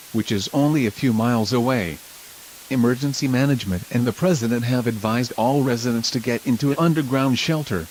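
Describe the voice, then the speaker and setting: A man playing a local TV news anchor in a scripted emergency broadcast, speaking in a formal, measured way. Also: medium pitch, darkish tone, a little hiss or hum, natural voice, poor audio. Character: local TV news anchor reporting a nuclear attack.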